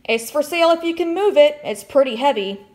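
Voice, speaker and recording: A woman voicing a gentle, reassuring father in a storybook, speaking with soft emphasis. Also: sits high, expressive, fairly quick, voice pushed up. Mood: friendly.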